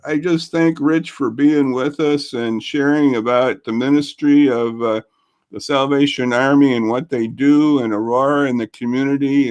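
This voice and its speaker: A man hosting a casual local interview show over a video call, talking in a folksy, chatty way. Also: mid-range voice. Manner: folksy, chatty, warm thanks. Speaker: host.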